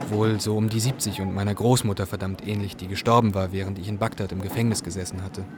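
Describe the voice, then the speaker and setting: Man playing weary young refugee, low voice, earnest personal radio drama narration, noisy vehicle-like background. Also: steady tone, fast, natural voice.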